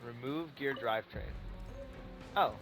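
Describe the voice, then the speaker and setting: A man's voice: mid-range voice, unhurried. A man giving casual gaming commentary, thinking aloud and muttering to himself with some swearing. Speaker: video game streamer. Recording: music behind the voice. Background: game music.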